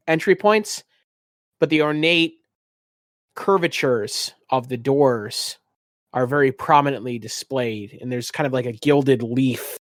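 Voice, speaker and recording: A man improvising scene descriptions as game master of a tabletop game, halting as he goes and using ornate wording. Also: medium pitch, no room sound, studio quality.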